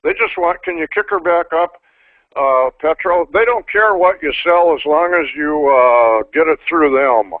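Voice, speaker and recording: An older man with a slightly high voice, reading a book aloud and stopping for folksy asides to his trainees. The sound is narrow and tinny like a phone line, and dry with no room around it. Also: measured pace, dry recording. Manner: folksy asides, frequent restarts. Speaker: insurance trainer.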